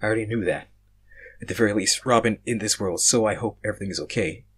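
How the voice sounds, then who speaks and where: Man voicing a husky, bewildered, panicky young stranger. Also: fairly low pitch, fast, fairly bright tone. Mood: wry, anxious.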